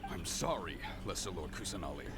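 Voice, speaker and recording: A man playing a gruff, jumpy guard in a video game, stern yet deferential to his ruler. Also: sits low, animated, a little fast. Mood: apologetic, alarmed.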